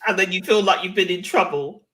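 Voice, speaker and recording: A woman's voice: lowish voice, fairly quick, fairly bright tone. A woman hosting a live online interview show, speaking in a chatty, effusive and encouraging way. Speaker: show host.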